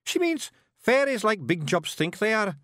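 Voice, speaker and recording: Small young male fairy, Scottish accent, high-pitched, cheeky, eager, lively storybook character. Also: moderate pace, no echo, clean studio sound. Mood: explaining. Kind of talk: audiobook character voice.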